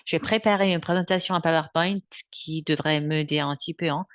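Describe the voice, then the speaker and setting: A Belgian French woman in her seventies gives a therapy webinar in a low, deep voice. She speaks thoughtfully, pausing often to search for words. The sound is dry and close, picked up by a computer mic on a video call. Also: fairly even intonation, no echo. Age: 70s. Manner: unhurried, thoughtful, with frequent hesitations. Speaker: bioenergetic therapist giving a webinar. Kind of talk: webinar lecture.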